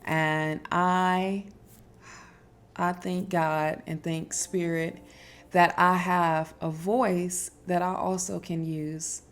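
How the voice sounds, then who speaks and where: A woman hosting a casual spiritual advice talk, speaking emphatically with fillers and restarts and a strong, loud voice. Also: lowish voice, flat delivery, extremely slow. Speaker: spiritual wellness host.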